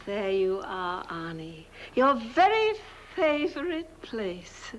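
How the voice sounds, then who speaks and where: An actress playing a fussy, doting, eccentric older sister with a fluttery, genteel manner of chattering in a film. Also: female voice, mid-range voice, sing-song, slow. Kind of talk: theatrical horror-comedy film acting. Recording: noisy old film soundtrack.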